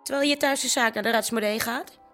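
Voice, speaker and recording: An actress playing a jealous, sceptical young wife in a radio drama, sharp-tongued, sardonic and grumbling. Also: female voice, fairly high pitch, fairly quick, soft background music, lightly acted. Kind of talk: naturalistic radio drama acting.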